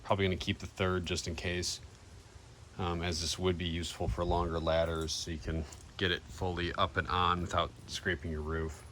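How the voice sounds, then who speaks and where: A man's voice: low-pitched, flat delivery, normal speed, bright, forceful tone. An American man giving a casual, laid-back DIY walkthrough to camera in plain-spoken words. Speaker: vlogger showing DIY van upgrades. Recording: noisy handheld recording with vehicle ambience.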